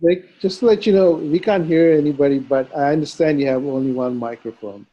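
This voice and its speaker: A man's voice: fairly high pitch, brisk. Man on a dull remote line. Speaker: remote participant.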